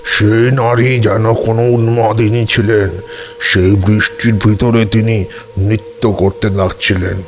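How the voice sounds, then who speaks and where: A man playing an old tantric who recounts eerie memories in a deliberate, measured way for an audio horror drama. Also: lowish voice, little pitch movement, faint music behind, lightly acted. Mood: measured, reminiscent.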